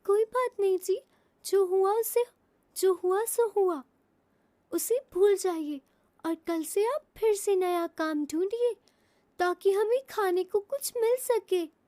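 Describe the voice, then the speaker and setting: A cheerful little sparrow wife, as a woman puts her on for a cartoon, in a voice pitched far above her own. She sounds sweet and comforting, with a soft, mellow tone. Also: takes it slowly, dry recording. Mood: reassuring. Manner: sweet, reassuring.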